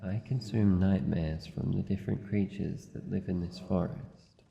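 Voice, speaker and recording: An Australian man voicing a solitary shadow monster in a fantasy ASMR roleplay, formal, deliberate, wary but gentle. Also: low voice, fairly even intonation, vocal fry. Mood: formal, guarded, reassuring. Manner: slow, deliberate, formal phrasing. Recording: dull muffled audio, long reverb, noisy ambience.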